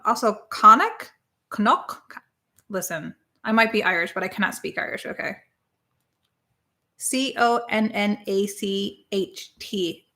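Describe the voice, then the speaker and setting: A woman co-hosts a casual live stream, chatting loosely and rambling between viewer comments. Her voice is bright and forward, with an everyday pitch for a woman. She drifts off topic and spells words out letter by letter. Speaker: co-host of a live watch party stream.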